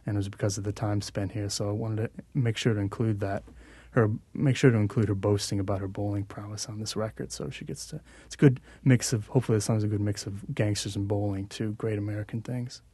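A man speaks casually as a musician guest on a radio show, often stopping and restarting his thoughts. His voice is on the low side. The studio sound is close and dry. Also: fairly even intonation, warm tone, slightly rough, no echo. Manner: halting, many restarts.

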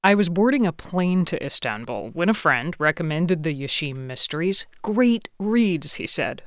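Dry, crisp woman radio reporter. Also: lowish voice, no room sound. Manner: crisp, wry storytelling. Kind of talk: scripted radio feature narration.

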